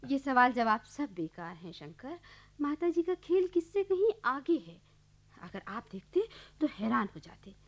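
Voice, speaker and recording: An actress playing an admiring, sociable middle-class wife in a radio play, lively and earnest. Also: female voice, high voice, a little slow, full character voice. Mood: impressed. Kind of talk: Hindi radio play acting. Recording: dull, lo-fi archive radio recording.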